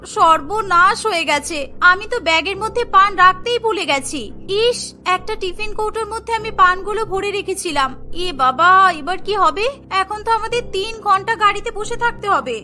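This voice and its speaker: Woman voicing a very high, bright young cartoon wife. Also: animated, moderate pace, faint music behind, exaggerated voice. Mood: panicked. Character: sly, excitable young daughter-in-law in a family cartoon.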